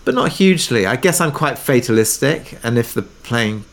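A man chatting as an interview guest in a halting, understated way, often restarting his phrases. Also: medium pitch, expressive. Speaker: interview guest, audio drama maker. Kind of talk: casual conversation.

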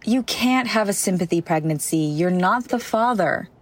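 A woman's voice: mid-range voice, animated, moderate pace, natural voice. An actress playing an exasperated, blunt policewoman in a comedy audio drama, speaking with incredulity.

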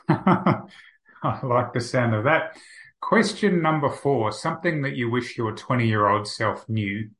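A man hosts an interview podcast with a bright, clear voice. He keeps things upbeat and moving with brisk introductions and quick questions. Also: mid-range voice. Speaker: podcast host. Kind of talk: interview hosting.

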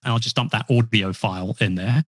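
A man's voice: on the low side, fairly quick, a bit bright, no room sound, clean studio sound. A man chatting as a podcast guest, rambling and self-deprecating with frequent restarts. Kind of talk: casual interview talk. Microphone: podcast mic.

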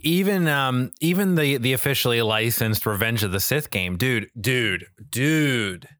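A man's voice: mid-range voice, expressive, unhurried, fairly bright tone, no echo, clean studio sound. A man co-hosting a podcast, chatting casually and informally with emphatic repetition. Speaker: podcast co-host. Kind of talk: casual discussion chat.

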